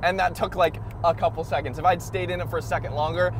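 A young man vlogging from the driver's seat of a moving sports car, talking excitedly and laughing between lines. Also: on the high side, fast talker, background noise. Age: young adult. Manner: excitable, laughs often, says "like" and "ridiculous". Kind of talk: casual car review.

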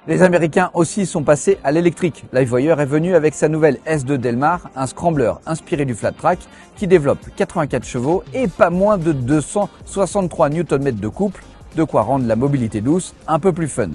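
A middle-aged man, a motorcycle journalist, walking a trade show and explaining the bikes in a lively way. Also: on the high side, brisk. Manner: lively, explanatory, tosses in fillers. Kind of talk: trade show walkthrough and interviews. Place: busy indoor exhibition hall. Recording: background music.

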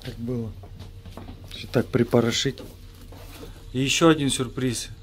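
A man's voice: mid-range voice, steady tone, moderate pace, a little hiss or hum. A man from Kazakhstan filming a casual fishing vlog, joking and swearing freely as he talks. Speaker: fishing vlogger. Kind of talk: casual chat while filming.

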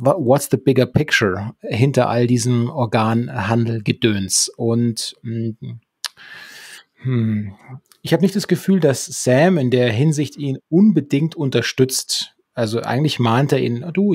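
A man with a husky, gravelly voice casually retelling a story as a podcast co-host at a close microphone. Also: mid-range voice, a little slow, darkish tone, dry recording. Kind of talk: conversational recap of a radio play. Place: small room at home.

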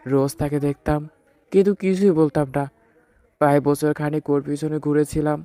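A man performs a heartbroken husband narrating a dramatic love story. His voice is mellow and a little high for a man, earnest and sorrowful, recorded close and dry with music behind it. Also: dry recording, close to an everyday voice. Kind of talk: dramatic first-person audio story narration.

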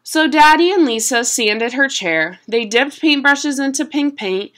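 A young adult woman reading a children's picture book aloud at home in an expressive, deliberate storytelling manner. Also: sits high. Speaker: storybook reader. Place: small room at home.

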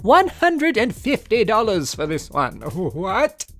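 A man's voice: high-pitched, wildly swooping pitch, takes it slowly, a bit bright, no room sound, soft background music. A young man, talking casually over a shopping vlog and weighing up toy prices. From Australia. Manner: chatty, opinionated about prices. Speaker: toy collector vlogger.

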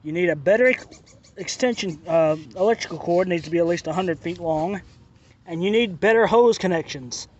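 A man's voice: high-pitched, moderate pace, darkish tone, muffled recording. A man giving a casual spoken product review outdoors, talking plainly and repeating his complaints, filmed on a handheld phone. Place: outdoors by a driveway and lawn.

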